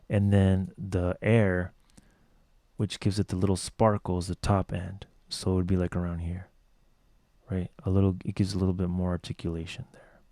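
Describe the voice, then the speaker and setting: A man with a lower tenor voice casually explaining how to finish a song, talking loosely with lots of "like" and "you know.". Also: mellow, no echo. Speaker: music production tutor. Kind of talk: casual tutorial walkthrough.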